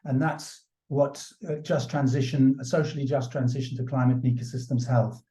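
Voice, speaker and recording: Man, keynote speaker, mellow voice, halting restarts, echoey room. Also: medium pitch, steady tone. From South Africa. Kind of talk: keynote talk.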